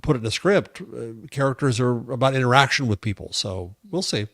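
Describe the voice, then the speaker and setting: A man co-hosting a podcast with casual, opinionated commentary, halting and repeating words as he searches for phrases. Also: medium pitch, expressive, fairly bright tone, dry recording, clean studio sound.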